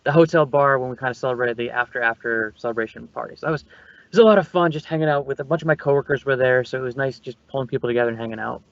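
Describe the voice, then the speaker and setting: A good-humored man talks casually as a guest presenter from a small soundproof office booth. His voice sits at a middle pitch and sounds very dry. The recording is dull and lo-fi. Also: very fast, vocal fry, no room sound. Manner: good-humored, laughs easily. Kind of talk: casual conversation.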